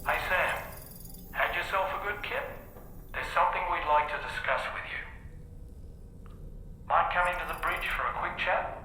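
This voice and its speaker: A breezy crewman, calling over an echoey intercom. His voice is very bright and slightly high, friendly and casual. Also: normal speed, long pauses, soft background music.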